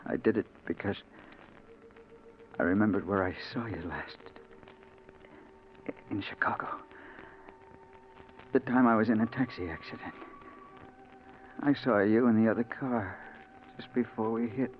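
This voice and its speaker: An actor playing a desperate, haunted newspaperman in a 1940s radio drama, emotional and halting in his delivery. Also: on the low side, natural voice. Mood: weak, resigned. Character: desperate shot newspaperman facing death, excitable, haunted. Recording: muffled, faintly noisy 1940s radio recording.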